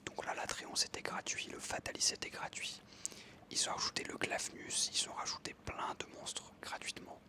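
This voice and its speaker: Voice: normal speed, sharp tone. A young adult whispering breathily in a casual ASMR style, chatting informally and enthusiastically while narrating gameplay up close. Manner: informal, enthusiastic gamer talk.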